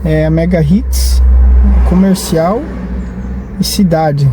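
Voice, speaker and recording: A man's voice: on the high side, slow. A man, vlogging casually in a rambling, hesitant way full of restarts and tag questions. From Brazil. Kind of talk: casual vlog talk. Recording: noisy, with traffic behind.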